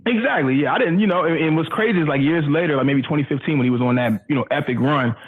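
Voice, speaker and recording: A man's voice: a little high, quick pace, slightly rough, phone-quality sound. A middle-aged man, a radio professional, chatting as a podcast guest in an easygoing, slangy, explanatory way. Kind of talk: casual conversational interview.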